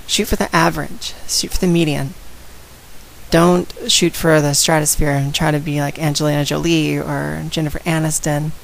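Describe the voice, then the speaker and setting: A woman with a slight head cold and a raspy voice, talking casually and outspokenly straight to her viewers in a vlog. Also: sits low, flat delivery, normal speed, rough recording. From San Antonio, Texas. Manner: outspoken, direct, addresses viewers. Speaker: vlogger. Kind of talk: casual talk to camera.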